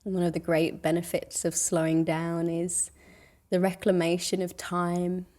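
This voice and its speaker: A woman leading a guided meditation in a soothing, gentle, unhurried way, leaving long pauses between phrases. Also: fairly low pitch, completely flat delivery.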